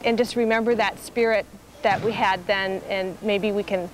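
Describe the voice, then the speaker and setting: An older woman being interviewed, recalling things informally in a halting, reflective way. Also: mid-range voice, steady tone. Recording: clearly noisy interview recording.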